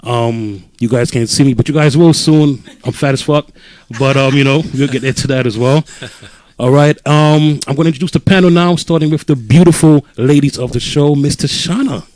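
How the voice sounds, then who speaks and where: A man's voice: medium pitch, normal speed, darkish tone, no room sound. A man in his twenties from Brooklyn, hosting a podcast panel in a loose, joking, casual way. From Brooklyn, New York. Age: 20s. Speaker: podcast host.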